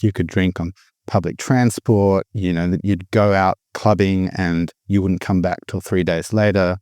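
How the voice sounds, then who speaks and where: Deep-voiced man telling his story. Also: a little mellow, dry recording, studio quality. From born in the Philippines, raised abroad. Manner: reflective, candid, with frequent restarts. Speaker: guest sharing recovery story. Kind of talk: personal storytelling.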